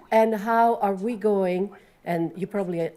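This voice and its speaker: A woman with an Italian accent, a professor moderating a panel and introducing speakers in an earnest, measured way. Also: medium pitch, dark tone.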